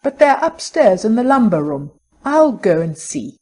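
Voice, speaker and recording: A woman playing a brisk, ingratiating, slightly lofty shop saleswoman in an audiobook drama, polite and cheerful. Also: a little high, very animated, mellow, slightly rough, exaggerated voice. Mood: patient, helpful.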